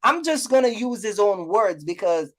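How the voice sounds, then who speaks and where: A man's voice: sits high, very animated, moderate pace. A man talking informally as a livestream host, using casual slang and often repeating his phrases. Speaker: live stream host.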